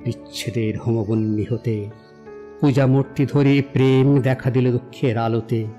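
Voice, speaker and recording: An actor playing a self-assured young romantic in an audio drama, speaking in a poetic, declamatory and philosophizing way. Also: male voice, medium pitch, steady tone, measured pace, dark tone, close to an everyday voice. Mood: solemn, poetic. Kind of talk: dramatized audio story acting. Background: background music.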